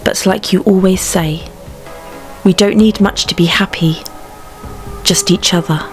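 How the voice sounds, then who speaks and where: A woman's voice: lowish voice, steady tone, a little slow, lightly acted. A woman playing an affectionate, teasing young wife and princess in a fan dub drama. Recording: light music behind the voice.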